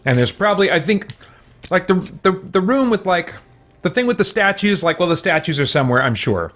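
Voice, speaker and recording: A man's voice: sits high, very animated, brisk, a bit bright. Man rambling casually through a game livestream. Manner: rambling, hesitant thinking aloud. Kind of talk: casual gameplay commentary with live chat.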